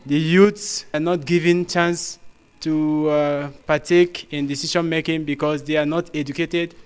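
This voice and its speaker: A man's voice: fairly high pitch, flat delivery, a little slow, clean tone. A young man with a Nigerian accent, giving an interview statement as a youth delegate.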